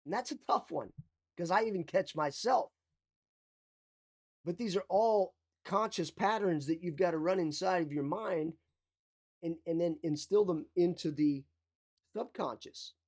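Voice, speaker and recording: A mindset coach giving an emphatic motivational talk, repeating key phrases, with a slight cough. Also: male voice, fairly high pitch, normal speed, long pauses, a little mellow.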